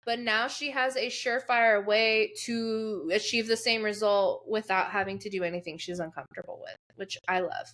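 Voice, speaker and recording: A woman's voice: mid-range voice, fairly even intonation, a bit bright. A woman in her late twenties, co-hosting a casual show recap podcast, chatty and candid. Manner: chatty, candid, quick to laugh. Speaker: podcast co-host.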